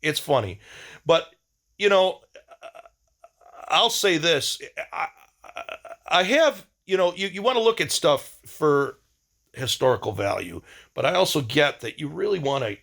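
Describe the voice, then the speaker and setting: A man hosting a casual video diary, rambling in a blunt, wry way and often halting to search for words. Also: on the high side, lively intonation, creaky, a bit bright.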